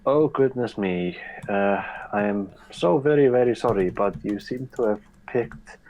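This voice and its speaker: A man's voice: lowish voice, measured pace, a little mellow, poor audio. A man putting on an exaggerated Icelandic accent to voice an overly polite, fumbling local man. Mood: apologetic. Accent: put-on Icelandic. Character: apologetic local man shrunk to tiny size.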